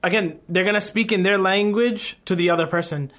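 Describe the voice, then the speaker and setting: Calm American man on a radio panel. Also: sits high, fairly quick. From United States. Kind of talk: radio talk show discussion.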